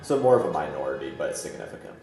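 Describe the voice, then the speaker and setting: A man with a very deep voice hosts an esports match. He commentates casually and chattily, in an unhurried, laid-back way. The sound is roomy and echoing, with music playing behind him. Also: very animated, creaky. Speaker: esports tournament host and commentator. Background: background music.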